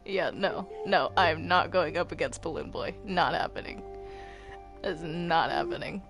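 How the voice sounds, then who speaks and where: A woman improvising roleplay in a video game, speaking haltingly and often repeating her words. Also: on the low side, over music. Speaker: roleplay gamer. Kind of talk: improvised Minecraft roleplay chat.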